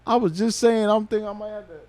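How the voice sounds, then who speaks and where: A man in his thirties livestreaming, a rapper talking casually and swearing freely, mixing thoughtful musing with loud excitement. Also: high voice, fast, dry recording. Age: 30s. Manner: reflective, then loud and excited with laughter and swearing.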